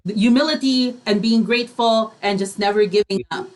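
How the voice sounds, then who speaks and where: A woman's voice: fairly high pitch, moderate pace, rough recording. A woman hosting a casual radio interview, agreeable and encouraging as she talks. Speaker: radio host.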